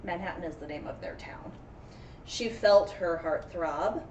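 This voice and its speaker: A woman teacher reading a story aloud to young children in an expressive, encouraging, dramatic way, with friendly chat in between. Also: on the low side, measured pace, dull audio. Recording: roomy echo, distant mic, faint noise.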